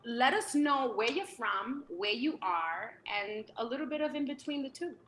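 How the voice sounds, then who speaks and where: A woman hosting a casual show, talking in an excitable, playful way. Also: fairly high pitch, normal speed, roomy sound, slight background noise. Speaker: podcast host. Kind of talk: casual chat.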